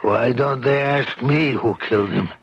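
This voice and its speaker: An actor playing a grieving older father bent on revenge, brooding and slow, with anguished outbursts, in a 1970s radio drama. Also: medium pitch, husky. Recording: dull lo-fi 1970s radio recording.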